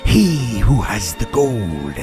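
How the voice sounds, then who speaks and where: A man playing a sinister, greedy banker villain in a western radio drama parody, smug and deliberate as he menaces. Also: on the low side, big pitch swings, over music.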